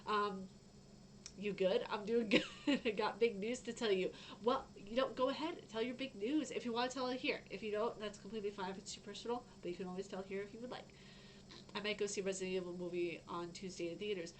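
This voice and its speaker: A young woman with a fairly low voice, chatting casually on a gaming news stream and reading out news, rambling with lots of fillers. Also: steady tone, brisk, a little hiss or hum, rough recording. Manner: chatty, rambling, frequent fillers and self-interruptions. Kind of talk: casual live-stream chat.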